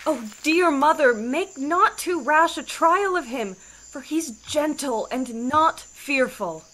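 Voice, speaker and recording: A woman's voice: sits high, animated, takes it slowly, fairly bright tone, a little hiss or hum, full character voice, rough recording. A woman playing a tender, innocent, lovestruck young daughter, earnest and entreating, in a Shakespearean audio drama. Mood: pleading.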